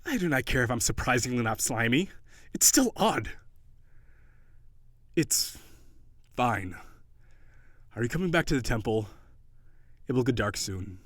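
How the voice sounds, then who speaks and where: A man's voice: mid-range voice, big pitch swings, unhurried, long pauses, fairly bright tone, no echo, clean studio sound. A man voicing a haughty, weary prince turned gigantic sea monster, with formal, old-fashioned, prissy diction in an audio roleplay. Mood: guarded, softening.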